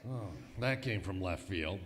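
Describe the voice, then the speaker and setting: Woman playing grumpy fed up husband, really low voice, retro radio comedy, echoey hall. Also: animated, unhurried. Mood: exasperated. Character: exasperated, grumpy husband chasing his scatterbrained wife. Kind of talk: old-time radio comedy acting. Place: live stage performance in a museum hall.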